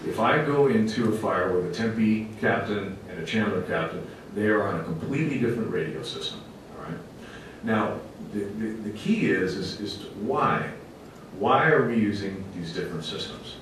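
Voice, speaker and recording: A man with a fairly low voice gives a public presentation as a fire officer. His delivery is emphatic and plainspoken, with a folksy turn. He is recorded at a distance in a very echoey meeting room. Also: expressive, moderate pace. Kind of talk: public presentation to a council committee. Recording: very echoey room, distant mic, faint rumbling noise.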